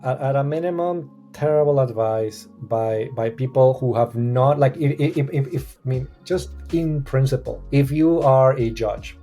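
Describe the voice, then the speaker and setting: A man's voice: mid-range voice, normal speed, few pauses, warm tone, soft background music. A man who grew up in Costa Rica, talking in a casual podcast discussion. He speaks animatedly, restarts often and acts out the people he quotes.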